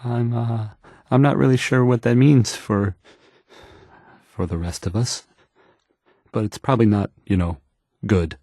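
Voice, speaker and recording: A man's voice: mid-range voice, fairly even intonation, a little slow, pauses often, mellow, no room sound, clean studio sound. An actor playing a nervous, awkward young male radio DJ in a game, stammering and hesitating through the news, rambling and unsure of himself. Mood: flustered, uneasy. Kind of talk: fumbled radio news report in a video game.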